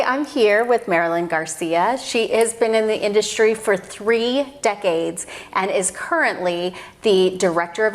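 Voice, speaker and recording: Woman host, lowish voice, friendly chatty interviewer who laughs along. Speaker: podcast host. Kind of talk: interview hosting.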